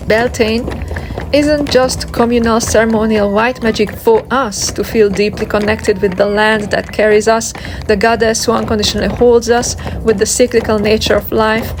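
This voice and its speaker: A woman's voice: on the high side, fairly even intonation, normal speed. A woman reading a scripted documentary narration in a reverent, poetic manner. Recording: music behind the voice. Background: prominent music.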